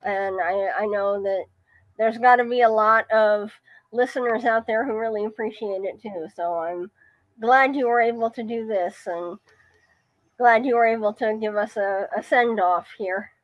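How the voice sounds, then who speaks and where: A woman's voice: on the high side, moderate pace, no room sound, highs cut off. A woman talking casually as a guest on a podcast. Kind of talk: casual conversational talk.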